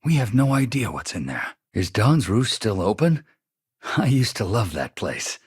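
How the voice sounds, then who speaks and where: A man's voice: lowish voice, very animated, unhurried, breathy edge, dry recording, clean studio sound, full character voice. A man voicing an earnest, anxious space engineer in a video game, a shaken survivor who speaks politely and hesitantly. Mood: earnest, reflective.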